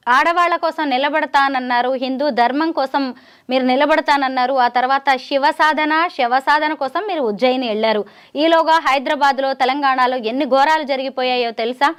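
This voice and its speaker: Female media interviewer, high-pitched, probing, lively in recapping before each question. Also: moderate pace. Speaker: news interviewer.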